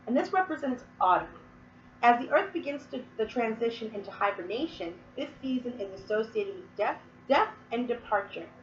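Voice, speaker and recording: A woman's voice: fairly high pitch, expressive, normal speed, echoey room, recorded from a distance, highs cut off, a little hiss or hum. A woman from Massachusetts explaining Wiccan verses in casual, rambling talk full of fillers, sniffling and coughing from a cold. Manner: chatty, rambling with many fillers. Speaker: Wiccan teacher.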